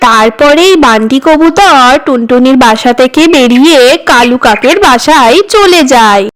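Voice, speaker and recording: A woman's voice: sits high, expressive, measured pace. A woman narrating a scripted children's cartoon story.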